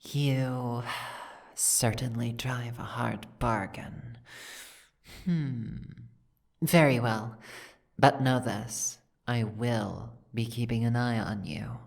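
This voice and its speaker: A woman voicing a stern, imperious female dragon queen in an audio roleplay, speaking in a formal, regal, measured way. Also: a bass voice, fairly even intonation, vocal fry, exaggerated voice. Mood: stern, menacing. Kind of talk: ASMR audio roleplay acting.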